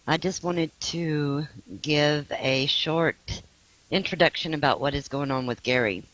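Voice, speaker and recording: A hoarse middle-aged woman making an earnest, indignant spoken appeal for support on behalf of a family member. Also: deep voice, a little slow, fairly bright tone, no room sound, low-quality recording.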